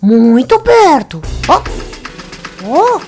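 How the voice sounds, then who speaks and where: A woman's voice: high-pitched, very animated, very slow, a little mellow, soft background music, full character voice. A woman with a Brazilian accent voicing a self-important little male cat sidekick in a children's cartoon. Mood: boastful. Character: boastful little male cat, detective's assistant.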